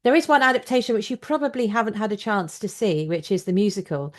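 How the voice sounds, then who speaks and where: A woman's voice: mid-range voice, fast. A British woman hosting a conversational interview, talking in a chatty, opinionated and enthusiastic way. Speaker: podcast host and interviewer.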